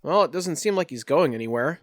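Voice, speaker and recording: A man's voice: fairly high pitch, animated, brisk. A man casually narrating a tabletop role-playing game as game master.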